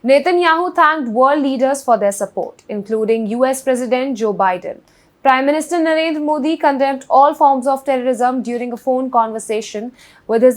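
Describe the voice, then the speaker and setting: A woman with an Indian English accent reading scripted news as an anchor. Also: high voice.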